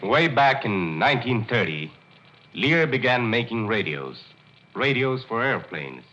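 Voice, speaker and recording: A man's voice: mid-range voice, sing-song, measured pace, bright, forceful tone. A man announcing in a polished, salesmanlike 1940s radio advertising style. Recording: muffled 1940s radio broadcast.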